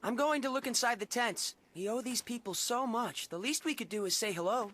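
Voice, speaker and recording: An actress voicing a composed, formal young elf companion in an anime-style game, with measured, polite phrasing. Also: female voice, on the high side, a bit bright, slight background noise, close to an everyday voice. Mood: gentle, composed. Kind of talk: English-dubbed anime-style game acting. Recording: hall reverb, music behind.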